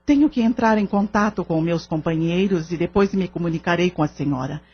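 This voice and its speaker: Composed, kindly senior lady, Brazilian, reassuring and formal, slightly rough voice, radio-novela style. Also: lowish voice, fairly quick. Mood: composed, earnest. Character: kindly, composed older lady of a spiritist centre. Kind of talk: audio drama acting in Portuguese. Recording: dull lo-fi audio drama recording.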